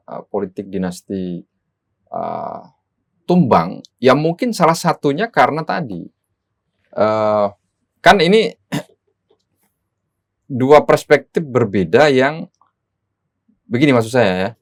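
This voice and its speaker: Low-pitched man, analytical and formal. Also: huge pitch swings, slow pace, creaky, pauses often, no room sound, clean studio sound. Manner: formal academic vocabulary, explanatory. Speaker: guest political commentator. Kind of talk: analytical political discussion.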